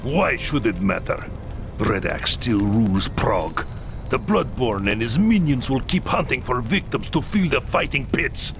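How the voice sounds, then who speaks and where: An actor playing a bitter, vengeful middle-aged clan leader in a video game, heated and dramatic, with a gravelly voice. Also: male voice, medium pitch. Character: bitter, vengeful human clan leader, grieving husband and father. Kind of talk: video game cutscene acting. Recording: loud music behind.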